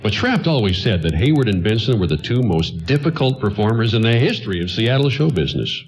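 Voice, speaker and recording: Harried, animated 1940s promoter. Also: male voice, fairly low pitch, a little fast, husky, heavily acted. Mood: gloomy, wry. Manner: animated, exasperated. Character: earnest, harried male 1940s show promoter, wry. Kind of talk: 1940s-set radio play acting.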